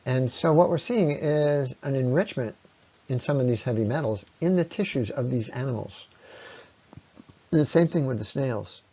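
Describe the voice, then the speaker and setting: A man's voice: mid-range voice, normal speed, warm tone, breathy edge. Man lecturing on a dull, lo-fi recording. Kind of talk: explanatory slide presentation.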